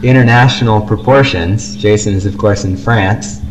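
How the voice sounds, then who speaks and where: A man's voice: fairly low pitch, normal speed, noisy background. A young man chatting casually as co-host of a fan podcast, on an amateur home recording.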